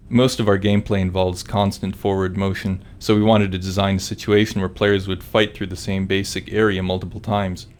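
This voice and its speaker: Male game developer, scripted commentary read, very low and level in pitch.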